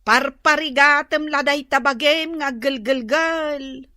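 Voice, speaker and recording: Shrill, boastful Ilocano neighbor woman. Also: sharp tone, dry recording, studio quality, full character voice. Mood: mocking, showy. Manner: taunting, drawn-out, showy. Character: boastful, mocking, stingy village neighbor woman flaunting her cooking. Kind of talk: Ilocano radio comedy drama acting.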